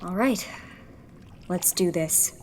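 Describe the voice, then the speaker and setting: A woman's voice: fairly low pitch, slow pace, noisy background. A woman playing a determined young rebel engineer in a sci-fi audio drama, earnest and taking charge as she explains the plan. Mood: focused. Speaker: rebel leader. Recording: loud music over the voice.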